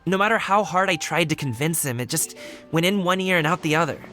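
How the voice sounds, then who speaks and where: A man's voice: a little high, lively intonation, fast talker, sharp tone, soft background music. A man playing a friendly young wushu dancer in an anime game, speaking in a breezy, sincere way. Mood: wistful, candid.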